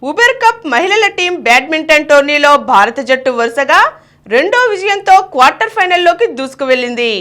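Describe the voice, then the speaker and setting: A woman with a high, bright voice reads scripted news as a bulletin presenter. Her carries through a lively delivery, with pitch that rises and falls widely. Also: fairly quick. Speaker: news reader.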